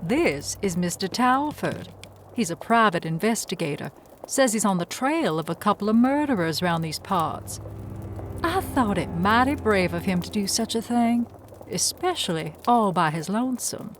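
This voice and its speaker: Actress as genteel frontier hostess over noisy ambience. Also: female voice, medium pitch, lively intonation, normal speed, natural voice. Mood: sweetly polite. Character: genteel, chatty frontier hostess and store wife with sly politeness. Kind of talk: western audio drama acting.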